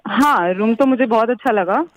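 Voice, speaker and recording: A woman's voice: on the high side, moderate pace, dull audio, a crowd in the background. A young woman talking casually on the phone as the recipient of a prank call, mixing in some English. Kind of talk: casual phone conversation, Hindi mixed with English.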